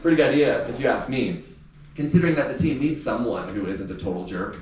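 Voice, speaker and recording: A man voicing a defiant, sarcastic teenage girl in a novel read aloud, snarky and quick to insult. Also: medium pitch, lively intonation, darkish tone, natural voice. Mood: bold. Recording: echoey room, distant mic, faint noise.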